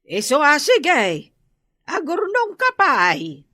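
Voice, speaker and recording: A woman's voice: high voice, wildly swooping pitch, slow, sharp tone, no echo, studio quality, heavily acted. A woman with a Filipino Ilocano accent playing a scolding older mother, emphatic, in a radio comedy drama. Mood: firm, admonishing. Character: scolding older mother of a wayward married man.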